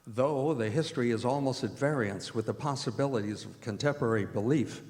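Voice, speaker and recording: A man playing a grave, earnest gentleman bearing witness in a radio drama, formal and deliberate, on a live stage. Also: mid-range voice, natural voice. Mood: solemn, earnest. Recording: reverberant hall, live stage recording.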